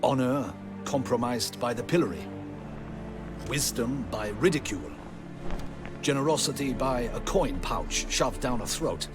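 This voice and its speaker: An actor playing a serious male officer in a duchess's service in a video game, formal, grave and measured. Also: medium pitch, expressive, lightly acted. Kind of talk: video game cutscene acting. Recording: music behind the voice.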